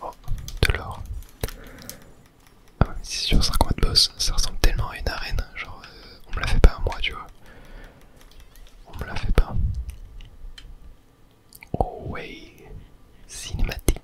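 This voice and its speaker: A man whispers casual, breathy video game commentary in ASMR style, murmuring his reactions close to the microphone. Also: unhurried, long pauses. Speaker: gaming ASMR streamer.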